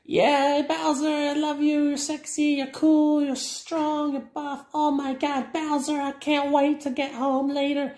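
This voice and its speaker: A performer voicing a reluctant cartoon character who hams up a storybook part in a comedy skit, in a roomy recording. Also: female voice, sits high, level tone, unhurried, few pauses, darkish tone. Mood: hammy, mock-adoring.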